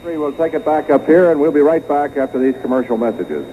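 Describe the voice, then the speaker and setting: A man's voice: on the high side, fairly quick. A man doing live radio play-by-play of a football game in short, clipped phrases. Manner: clipped phrases, calling action as it happens. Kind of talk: live sports commentary. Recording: noisy phone-like vintage radio broadcast.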